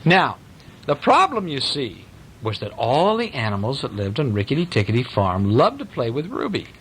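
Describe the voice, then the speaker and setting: A man reading a storybook aloud to children in a playful, animated way, with asides to his young listeners. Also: medium pitch, normal speed, slight background noise, poor audio. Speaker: parent reading a bedtime story.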